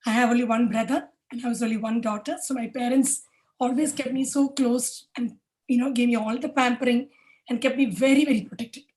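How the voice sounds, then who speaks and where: A woman's voice: fairly high pitch, fairly even intonation, brisk, a little mellow, poor audio. A woman, raised in Kuwait, hosts the event and shares a personal story earnestly. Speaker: event host.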